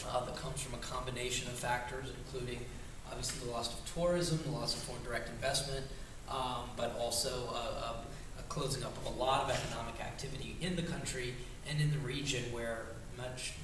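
A man with a voice made raspy by a cold talks earnestly to a room, stopping often to restart his sentences. He is caught from across a large, very echoey hall, his words blurred by the room. A steady hiss of noise sits under him. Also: medium pitch. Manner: earnest, many fillers and restarts. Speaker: guest speaker. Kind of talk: informal talk to an audience. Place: large indoor community hall. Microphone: far camera, no mic. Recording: echoey hall, far from the camera, noisy.

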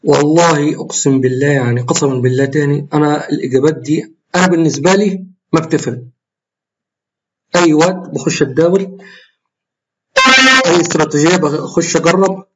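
A man with an Egyptian Arabic accent, voice a little tired and hoarse, talking through his trades in a screen-recorded walkthrough, often breaking off and correcting himself. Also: fairly high pitch, fairly quick, pauses often, lo-fi sound, slight background noise. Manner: rambling, self-correcting, explains while trading live. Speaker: trading tutorial host.

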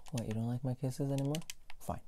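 A man playing a playful, affectionate, clingy young boyfriend in a soft, intimate, teasing ASMR roleplay, with keyboard clicks behind him. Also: medium pitch, natural voice. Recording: faint keyboard and mouse clicking noise. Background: game sounds, keyboard typing and clicking.

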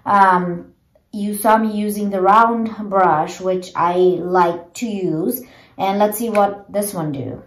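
A woman in her thirties chatting casually through a home hair tutorial, with many fillers and a gentle, way of talking, filmed on a propped phone in a small bedroom. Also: medium pitch, steady tone, slow. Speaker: beauty vlogger. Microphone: phone camera propped low. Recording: echoey small room.

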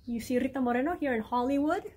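A middle-aged woman reading a children's picture book aloud in a clear, deliberate storytime way in a library room. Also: high voice, darkish tone. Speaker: guest reader, mayor. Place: indoor library room with bookshelves.

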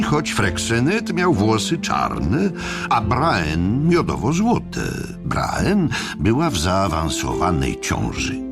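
A man narrating an audiobook drama from a script, with theatrical, wry storytelling. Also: fairly low pitch.

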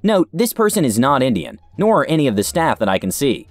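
A man narrating forum stories in a steady scripted read. His pitch rises and falls freely. The sound is dry and close, with faint music underneath. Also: fairly quick, no room sound.

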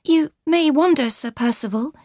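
A gentle, earnest young heiress, put on by a woman reading a storybook, speaks high above the narrator's own voice. Her pleas come out intent and sincere. The sound is dry and close, with no room around it. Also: normal speed, no echo, lightly acted. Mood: calm.

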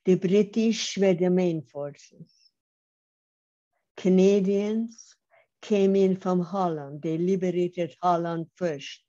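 An older woman giving a measured, emphatic personal testimony over a video call, speaking slowly with pauses. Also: on the low side, steady tone, dark tone. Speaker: Holocaust survivor speaker. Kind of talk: slow personal testimony talk. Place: home room on video call. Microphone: webcam mic on video call. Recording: slightly dull lo-fi video call audio.

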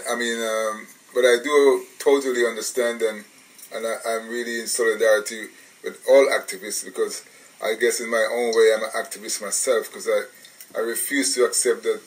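A man's voice: fairly low pitch, distant mic, low-quality recording. A man from England, hosting a radio interview in a rambling, casual way with many restarts. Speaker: radio show host.

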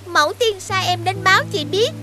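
A woman voicing an eager, earnest little fairy girl in an animated fairy tale. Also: very high-pitched, moderate pace. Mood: cheerful.

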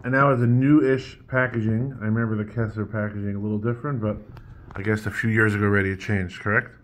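A man with a fairly low voice chats as an interviewer in an indoor room. He is informal, thinking aloud between his questions, and speaks from behind a phone camera. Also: steady tone, quick pace, fairly bright tone. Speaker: interviewer, beverage seller. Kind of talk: casual chat, interview questions.

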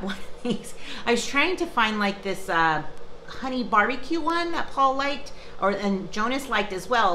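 A woman's voice: mid-range voice, bright, forceful tone, a little hiss or hum. A woman in her fifties chatting casually to the camera in her home kitchen about her grocery haul, upbeat, with many fillers and restarts. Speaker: vlogger showing a grocery haul. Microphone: camera close up, in front of her. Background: ice machine running.

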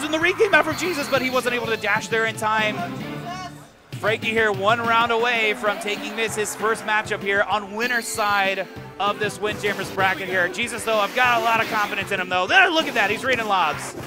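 A man giving live commentary at a video game tournament, excitable and explanatory in manner. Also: high voice, fairly quick. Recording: music behind, live event stream. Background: game music.

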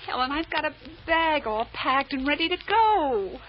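A woman's voice: sits high, expressive, moderate pace. An actress playing a loyal, anxious working woman, earnest and pleading, in an old-time radio drama. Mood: upset, frustrated. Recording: dull, noisy old radio recording.

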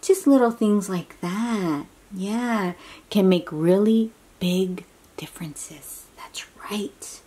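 A woman reading a picture book aloud to young children, expressive and full of exclamations, drawing out words and dropping into hushed asides as she asks simple questions. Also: medium pitch, slow, husky. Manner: talks directly to young listeners with simple questions. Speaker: children's read-aloud host.

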